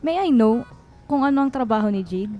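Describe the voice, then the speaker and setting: A woman playing a calm, professional doctor in a radio drama. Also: a little high, a little fast, highs cut off, faint music behind, natural voice. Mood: calm, explaining.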